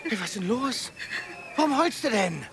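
A man playing a blunt, excitable young pal in a radio drama, speaking in emphatic short bursts. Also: extremely high pitch, moderate pace. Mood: blunt, chiding. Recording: loud vehicle and ambient noise. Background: vehicle and ambient noise.

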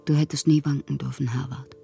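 An actress playing a proud, lovelorn woman poet in a literary radio drama monologue, theatrical and intimate with a husky, breathy voice. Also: very deep voice, level tone, brisk, over loud music, full character voice. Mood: pleading, melancholy. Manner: theatrical, poetic, intimate, at times whispering. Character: wounded, proud, lovelorn woman poet styling herself a prince.

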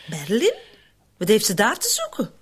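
An actress with a Flemish accent playing a cool, sly, mocking accomplice in a radio drama, wry and teasing. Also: female voice, mid-range voice, huge pitch swings, normal speed, a little hiss or hum. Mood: probing.

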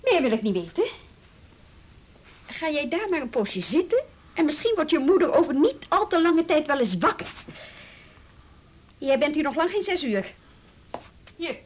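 A firm policewoman in a radio play. Her voice is high and moves around a lot in pitch, while her manner stays dry and businesslike as she questions someone. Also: big pitch swings, normal speed, pauses often, slight background noise. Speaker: police officer. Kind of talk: Dutch radio play acting.

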